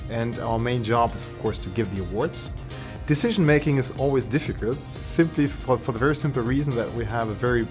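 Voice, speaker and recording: A middle-aged man, chair of a prize committee, speaking in an interview in a measured, slightly halting way. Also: medium pitch. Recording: music playing underneath.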